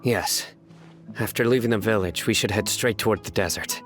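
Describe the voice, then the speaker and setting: A man's voice: lowish voice, moderate pace, fairly bright tone, soft background music, close to an everyday voice. An actor playing a stern, dutiful young lawman, serious and terse, in a video game. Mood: resolute. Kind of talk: video game cutscene acting.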